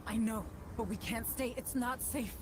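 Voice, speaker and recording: A woman's voice: fairly high pitch, fairly even intonation, moderate pace, noisy background, close to an everyday voice. A woman playing an anxious, dutiful space station administrator in a video game cutscene, earnest and urgent. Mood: urgent, pleading. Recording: loud music behind the voice.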